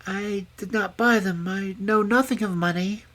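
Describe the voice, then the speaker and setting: A woman's voice: medium pitch, steady tone, moderate pace, a bit bright, pitched above the performer's own voice, natural voice. A woman voicing a meek, sorrowful young princess in a storybook, pleading politely and plaintively. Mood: sad, meek. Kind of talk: storybook character voice.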